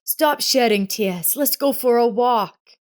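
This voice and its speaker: A woman's voice: on the high side, takes it slowly, pitched above the performer's own voice. Woman reading as a reassuring, protective father.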